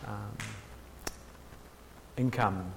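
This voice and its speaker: A man's voice: fairly low pitch, steady tone, extremely slow, gravelly, noisy background. Man from New lecturing in a reverberant hall. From New Zealand. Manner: hesitant, many ums and restarts, dry self-mocking humour. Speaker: workshop speaker on theology. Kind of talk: informal lecture.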